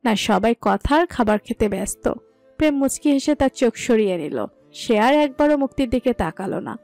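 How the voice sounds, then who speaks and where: A woman's voice: fairly high pitch, lively intonation, normal speed, darkish tone, faint music behind. A woman reading a scripted story as its narrator.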